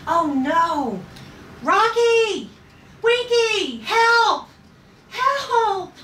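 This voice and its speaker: A woman's voice: very high voice, sing-song, very slow, sharp tone, exaggerated voice. A woman playing an anxious, helpless female space passenger in a sci-fi table read. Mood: panicked. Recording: echoey room, distant mic, faint noise.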